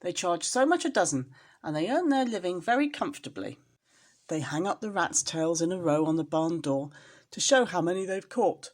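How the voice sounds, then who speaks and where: A woman's voice: on the low side, lively intonation, normal speed. A woman storyteller reading a children's storybook expressively, as if to a young listener.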